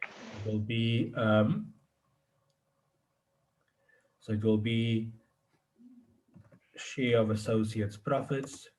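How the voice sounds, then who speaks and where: A man with a fairly low voice is tutoring one student in an informal way. He explains step by step in a halting manner, often repeating a phrase before he rewords it. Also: darkish tone, poor audio. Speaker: accounting tutor.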